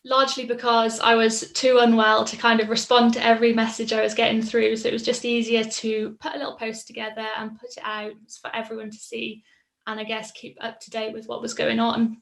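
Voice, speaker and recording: A woman's voice: a little high, monotone, a little fast, vocal fry, a bit bright. A woman, telling her personal story in a casual, reflective way, with frequent ums. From Mid Wales. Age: 20s. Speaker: patient sharing her story. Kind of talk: personal testimonial.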